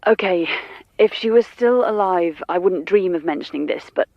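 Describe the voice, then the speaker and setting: An actress playing a guarded stage performer, hesitant and confiding, in naturalistic radio drama dialogue. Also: female voice, on the low side, moderate pace, dry recording, close to an everyday voice. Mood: confiding, thoughtful. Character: guarded young actress, reluctant but candid friend. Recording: dull, dry phone-call sound.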